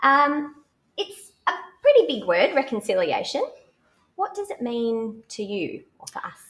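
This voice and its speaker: An Australian woman presenting a live children's show, animated and exclamatory as she speaks to young viewers. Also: fairly high pitch, unhurried. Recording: roomy, echoey live stream sound.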